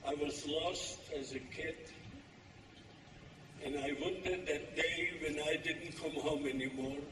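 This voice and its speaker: An older man from Poland giving a slow, halting, plainspoken personal testimony as a keynote speaker at a live event. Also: fairly high pitch, fairly even intonation. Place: large hall, live event. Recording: echoey hall from far off with noise.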